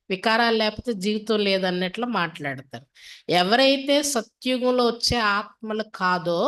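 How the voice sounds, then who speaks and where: A woman's voice: mid-range voice, a bit bright. A woman teaching a spiritual class in a didactic way, posing questions and repeating refrains. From India. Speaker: spiritual teacher reading and explaining a daily discourse. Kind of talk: devotional sermon to a class.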